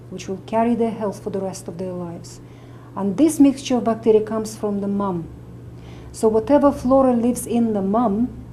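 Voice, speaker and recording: A middle-aged woman from Russia with a Russian accent, a medical doctor and nutritionist explaining her views to camera in an earnest, steady way. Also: medium pitch, a little hiss or hum, low-quality recording.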